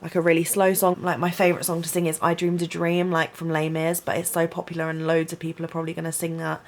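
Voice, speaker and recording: Chatty young woman, low gravelly voice. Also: monotone, quick pace. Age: young adult. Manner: chatty, rambling, lots of "like" and "um". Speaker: student vlogger. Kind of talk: casual vlog chat. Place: student bedroom, indoors.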